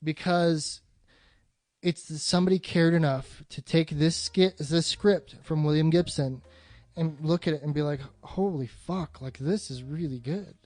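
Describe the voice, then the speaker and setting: A man's voice: a little high, unhurried, a little mellow, dry recording. A man co-hosting a casual film discussion, emphatic and opinionated, swearing freely. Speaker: podcast co-host.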